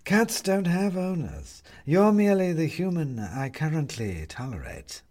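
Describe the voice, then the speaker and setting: A man acts out a sly, supercilious tomcat from a storybook. The voice is lifted and swooping, drawling out its contempt for humans with slow disdain. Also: fairly high pitch, very animated, a little mellow, pitched above the performer's own voice. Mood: smug disdain. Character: haughty male cat, sly, contemptuous of humans. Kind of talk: audiobook character voice.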